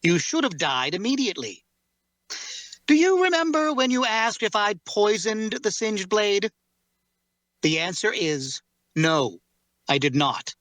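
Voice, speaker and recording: A man playing a solemn, learned warrior who bears a cursed sword, speaking in a measured, formal way in a fantasy drama reading. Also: high-pitched, very animated, fairly bright tone, no room sound, heavily acted. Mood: grave, intent. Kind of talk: dramatic fantasy table read.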